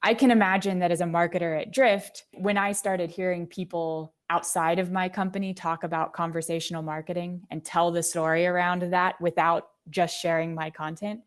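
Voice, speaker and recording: A woman with a fairly low voice. She speaks as an interview guest, articulately laying out explanations with concrete examples in a conversational way. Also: clear, resonant tone. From United States. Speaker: marketing executive, podcast guest.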